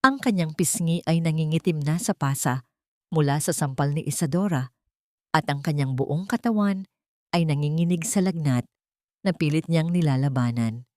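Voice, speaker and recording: A woman's voice: low-pitched, moderate pace, warm tone, no room sound, studio quality. A woman reading a scripted audiobook story as a dramatic narrator.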